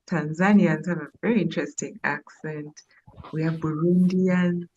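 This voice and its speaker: Ugandan woman, low voice, lo-fi call. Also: steady tone, normal speed, a little mellow, slight background noise. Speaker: podcast co-host. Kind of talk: casual live podcast chat. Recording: dull lo-fi online call audio.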